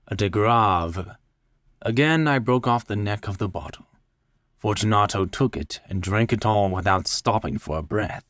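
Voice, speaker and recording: A man narrates a story with dramatic, measured delivery. His voice is fairly low and very slow, recorded dry and close with no room sound. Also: a bit bright, no echo. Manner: deliberate, measured. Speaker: story reader.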